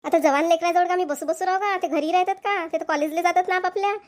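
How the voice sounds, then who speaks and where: A headstrong, talkative village mother from a cartoon comedy, acted by a woman. Her voice is very high, and she argues back in colloquial Varhadi Marathi. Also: steady tone, fast talker. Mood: defiant. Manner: argumentative, colloquial.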